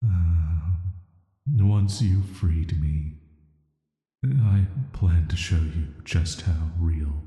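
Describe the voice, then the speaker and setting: A man plays an ancient banished villain, intimate and quietly dangerous, in an atmospheric sleep-aid roleplay. His voice is very low, dark and soft. He speaks in a flat, deliberate, flirty way, surrounded by echo. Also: highs cut off. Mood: tender, seductive. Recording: echoey voice with long reverb.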